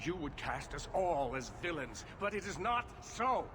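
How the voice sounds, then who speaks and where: Indignant male mage leader, fairly high voice, very expressive, formal fantasy game drama, noisy backdrop. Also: measured pace. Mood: indignant, defensive.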